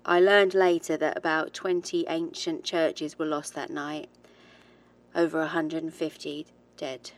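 A woman's voice: deep voice, level tone, measured pace. A woman playing a haunted, determined researcher who gives a reflective, hesitant confession in a horror audio drama diary. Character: haunted, determined woman researcher, British-born, recalling childhood ghosts.

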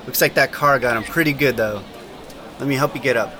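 An actor playing a helpful, concerned bystander on the street in an audio drama, casual and solicitous. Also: male voice, medium pitch, brisk, natural voice. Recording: busy street crowd and noise behind.

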